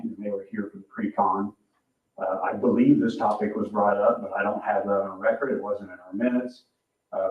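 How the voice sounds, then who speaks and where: Low-voiced man presenting, echoey room. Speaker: project engineer presenting to council. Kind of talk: informal explanatory presentation. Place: public meeting room. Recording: echoey room, distant muffled mic.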